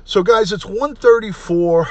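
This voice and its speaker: A middle-aged man hosting a casual livestream, chatty and repetitive, often clearing his throat. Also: high-pitched, unhurried, a little mellow. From New York. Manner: chatty, repeats himself, frequent throat clearing. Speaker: livestream host, retired detective. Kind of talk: casual livestream chat, reading comments aloud.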